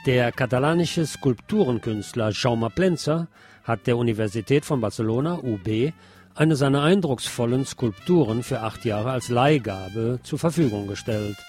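A man presenting scripted news items in a measured, enumerating way, as a radio presenter. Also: mid-range voice, a little mellow, faint music behind.

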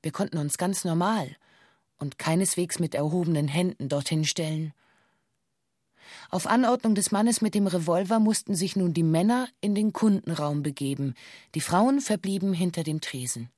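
A female bank employee voiced by an actress delivers a formal witness statement in a radio play. Her voice sits fairly low and she stays precise and matter-of-fact. The recording is close and dry, with no room around it. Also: normal speed, darkish tone, dry recording, close to an everyday voice. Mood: sober, factual. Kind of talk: scripted radio play monologue in stiff police-statement language.

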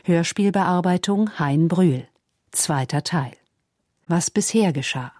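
Female radio-play announcer, very low register, formal, close and dry. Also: takes it slowly, darkish tone, no echo.

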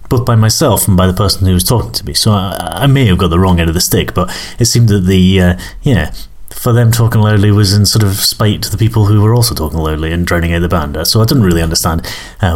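Low-voiced man rambling hesitantly between tracks. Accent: English northern, mixed with Scottish. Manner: hesitant, full of fillers and restarts, self-deprecating. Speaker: podcast host. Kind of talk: rambling casual chat between music tracks.

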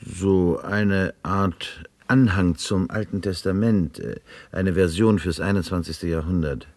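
A man's voice: low-pitched, fairly even intonation, unhurried, a little mellow, dry recording. An actor playing a curious, dryly sardonic man puzzling over documents in a 1970s German radio play. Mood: dry, musing. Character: curious, wry man deciphering a coded document.

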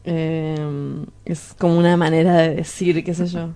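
A low-pitched, colloquial Argentine voice for a radio drama , acted by a woman. The character is a pretentious, sleazy lover who boasts and dodges, hesitating before spinning wordy confessions. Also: little pitch movement, unhurried, mellow. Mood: evasive. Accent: Argentine Rioplatense. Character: sleazy, pretentious, self-styled philosopher lover, evasive and boastful.

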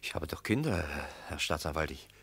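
An actor playing an anxious salesman cornered as the accused in a radio play, halting, flustered and defensive. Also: rumbling low, sing-song, a bit bright, breathy edge, heavily acted. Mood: uneasy, evasive. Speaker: accused man in a mock trial. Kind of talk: German radio play acting.

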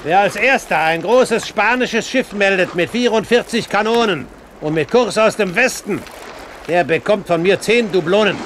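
An actor playing a shrewd older pirate captain, grand and wry in a 1970s German radio drama. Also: male voice, high voice, moderate pace, fairly bright tone. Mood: confident, commanding. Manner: grand, wry, authoritative, given to mild curses. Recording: 1970s radio play, background noise.

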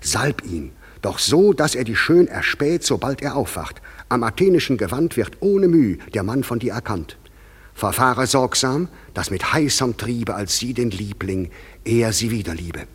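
An actor playing the proud, commanding fairy king in a classic verse radio play, with a measured, stately delivery. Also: mid-range voice, lively intonation, husky.

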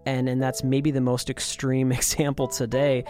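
A man's voice: mid-range voice, completely flat delivery, normal speed, darkish tone. A man co-hosting a casual chat about music, enthusiastic and laughing often. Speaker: co-host.

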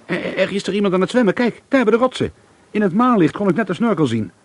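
Lively, inquisitive man on holiday. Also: high voice, fast talker. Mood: excited. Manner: inquisitive, conversational. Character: curious, suspicious holidaying male amateur painter. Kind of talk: Dutch radio drama acting.

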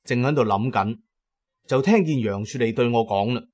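A man's voice: mid-range voice, moderate pace, darkish tone. A man giving dramatic first-person narration as the narrator of an audio drama.

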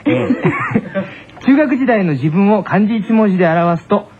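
Animated, fast-talking man on lo-fi radio. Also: sits high, a little hiss or hum. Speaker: radio show co-host. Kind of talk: comic banter and anecdote telling. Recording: lo-fi radio recording with music. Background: loud background music.

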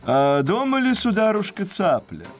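A man's voice: high-pitched, unhurried, faint music behind, pitched above the performer's own voice. A man voicing a proud, fickle crane courting in a folksy, courtly way in an animal tale. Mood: courting, coaxing. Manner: folksy, courtly, expressive intonation.

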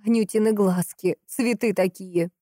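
A woman's voice: mid-range voice, fairly even intonation, warm tone, no room sound, studio quality, natural voice. A woman voicing a hot-tempered, grieving sister in a crime audiobook, emphatic and impatient. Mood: indignant. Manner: emphatic, impatient, drawn-out stresses.

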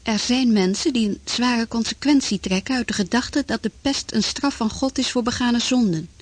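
An actress narrating a historical radio drama as a sober chronicler, in a measured, formal manner. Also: female voice, on the high side, husky, no echo. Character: sober female chronicler of plague times. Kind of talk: scripted documentary-style radio drama narration.

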